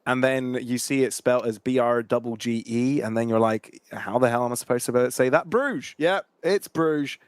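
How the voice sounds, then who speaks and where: A man's voice: medium pitch, moderate pace, few pauses, smooth, dry recording, clean studio sound. A man whose first language is Greek, bantering playfully as an esports caster and laughing often. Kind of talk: casual banter between casters.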